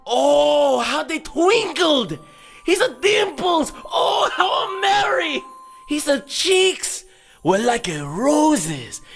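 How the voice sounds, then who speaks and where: A man narrating a storybook tale in a theatrical, dramatic and expressive way. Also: sits high. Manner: dramatic, expressive, drawn-out phrasing. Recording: music behind. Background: background music.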